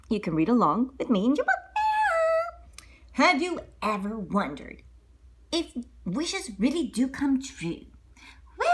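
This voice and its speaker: A woman narrating a children's read-along story in a playful way, addressing young listeners directly with questions and asides. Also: high-pitched. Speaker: children's storyteller, channel host.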